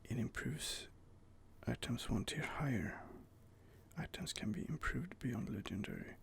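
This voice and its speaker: A man's voice: mid-range voice, very animated, a little slow, creaky. A man whispering casual gaming ASMR commentary while he reads out game options and muses over them. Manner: soft whispering, casual and musing.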